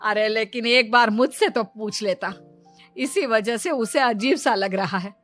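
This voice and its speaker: A woman's voice: fairly high pitch, a little slow, fairly bright tone, soft background music. A woman playing caring relatives of a pregnant wife in a cartoon story, soothing and reassuring. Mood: concerned. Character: caring husband and mother-in-law, soothing and reassuring. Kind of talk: Hindi animated moral story acting.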